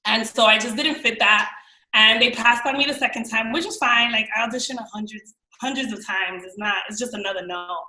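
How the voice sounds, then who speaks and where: A young woman with a New York accent, telling stories as a podcast guest in an animated, slangy way. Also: a little high, brisk, reverberant, low-quality recording. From New York, raised in Miami. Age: young adult. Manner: animated, slangy, quotes people in voices. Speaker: guest actress. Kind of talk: casual storytelling chat.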